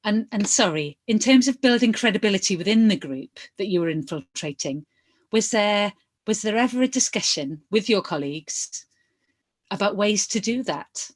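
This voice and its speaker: A woman reading the part of an inquiry lawyer, putting formal questions in a measured, methodical way. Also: medium pitch, animated, natural voice. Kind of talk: verbatim reading of hearing questions.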